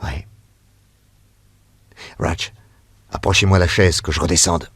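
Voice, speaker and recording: Radio-drama detective, middle-aged, very low voice, measured, grave, shrewd. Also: male voice, steady tone, fairly bright tone, slight background noise, exaggerated voice. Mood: explaining. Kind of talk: 1940s radio acting.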